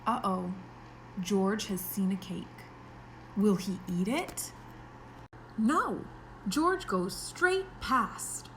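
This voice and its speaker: A woman's voice: mid-range voice, very slow, pauses often, a bit bright, slight background noise, poor audio. A woman teaching pre-kindergarten children, reading a story aloud expressively and explaining it in simple words.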